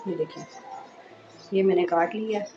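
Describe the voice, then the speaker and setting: A woman hosting a sewing tutorial, speaking in a casual, reassuring way while she explains each step, with a hoarse voice from a sore throat. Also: medium pitch, fairly even intonation, unhurried, warm tone, highs cut off, soft background music. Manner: chatty and reassuring, frequent "accha ji".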